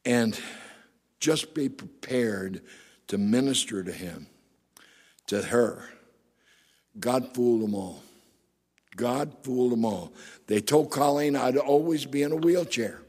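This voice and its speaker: A middle-aged male pastor with a gravelly, raspy voice, preaching emphatically and asking the congregation rhetorical questions. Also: mid-range voice, takes it slowly, long pauses, a little mellow. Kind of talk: sermon. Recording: long hall reverb.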